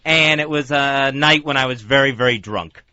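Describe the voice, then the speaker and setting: A man's voice: medium pitch, moderate pace, pushed and bright, clean tone, no echo. A man hosting radio, recounting personal stories in casual confessional chat in a studio. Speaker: radio host. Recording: slightly muffled dry studio recording.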